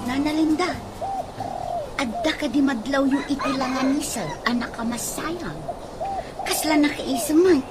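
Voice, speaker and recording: A woman's voice: high-pitched, measured pace, over loud music. A woman playing a gossipy, easily astonished young woman in a comedic radio drama, chatty and exclamatory. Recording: noisy radio drama recording.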